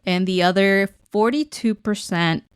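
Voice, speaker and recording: A woman's voice: medium pitch, a little slow, smooth, no room sound, clean studio sound. A woman from Guatemala co-hosting a podcast in casual, chatty, informal conversation. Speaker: podcast co-host. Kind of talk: casual unscripted podcast chat.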